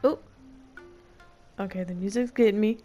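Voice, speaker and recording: A woman's voice: medium pitch, normal speed, warm tone. A woman doing casual, playful commentary as she streams a game, talking back to the characters. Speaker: gameplay streamer. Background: game music.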